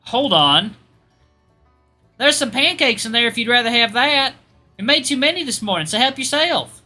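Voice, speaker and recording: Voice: mid-range voice, animated, normal speed, pushed and bright, faint music behind, pitched above the performer's own voice. A performer voicing a friendly, folksy young female college student with a Southern accent, polite and eager to help. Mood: hospitable.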